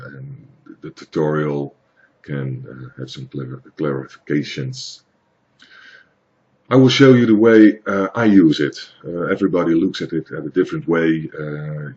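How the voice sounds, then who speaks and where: A man from the Netherlands with a Dutch accent, explaining software in a hesitant, plain tutorial with many fillers. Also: a bass voice, lo-fi sound. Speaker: tutorial maker. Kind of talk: screen-recorded software tutorial.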